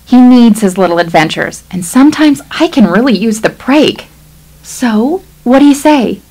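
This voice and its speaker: Middle-aged wife, radio play, exasperated and insistent, lively highish voice. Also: female voice, normal speed, low-quality recording. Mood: pleading, insistent. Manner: insistent, wry. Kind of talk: stage-style radio play acting.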